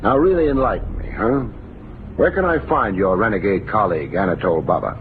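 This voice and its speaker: Wry hard-boiled radio detective, dull recording. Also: male voice, on the low side, animated, fairly quick, a little hiss or hum. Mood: probing. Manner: wry, clipped, terse. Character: wisecracking hard-boiled male private detective, about forty. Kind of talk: old time radio detective drama narration and dialogue. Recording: dull old radio recording with music behind.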